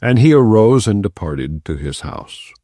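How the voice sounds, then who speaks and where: A man giving a measured, solemn scripture reading. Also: lowish voice, dry recording, studio quality. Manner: measured, solemn, expressive intonation. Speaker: scripture reader.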